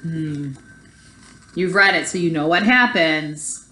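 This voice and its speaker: Bright-voiced woman teacher reading aloud. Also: low voice, takes it slowly. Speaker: elementary school teacher. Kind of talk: children's read-aloud lesson with explanation.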